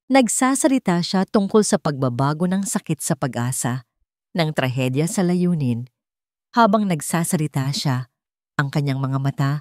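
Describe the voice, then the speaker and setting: A woman with a somewhat low voice narrates a scripted love story. Her delivery is dramatic and measured. The recording is dry and close, with no room sound. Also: darkish tone, dry recording.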